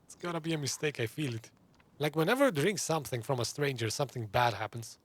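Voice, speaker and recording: A man's voice: medium pitch, lively intonation, fast talker. A man giving casual, chatty live playthrough commentary as a gaming streamer, reacting to the game as he goes. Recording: game ambience behind the voice. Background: video game ambience.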